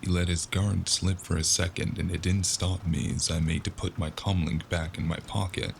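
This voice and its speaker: A man playing a sardonic, world-weary cyberpunk investigator in an audio drama, narrating in first person with a gravelly, wry delivery. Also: sits low, level tone, moderate pace, a little hiss or hum. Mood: wry, tense narration. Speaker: narrator and lead character.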